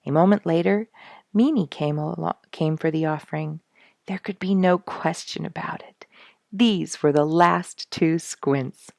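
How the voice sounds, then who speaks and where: A woman reading a children's animal story aloud in an expressive, dramatic storyteller's manner. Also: sits low, unhurried, gravelly, dry recording, studio quality. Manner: dramatic, rhetorical questioning delivery.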